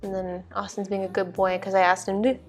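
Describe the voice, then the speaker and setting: A woman's voice: mid-range voice, flat delivery, fairly quick. A young woman casually narrating her makeup routine in an informal, chatty way. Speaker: vlog host. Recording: music behind the voice. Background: music.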